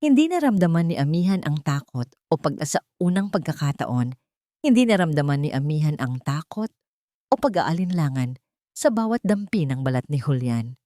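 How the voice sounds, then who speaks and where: A woman's voice: sits low, big pitch swings, moderate pace, dark tone, dry recording, clean studio sound. A woman with a Filipino accent reading a scripted story as a dramatic audiobook narration.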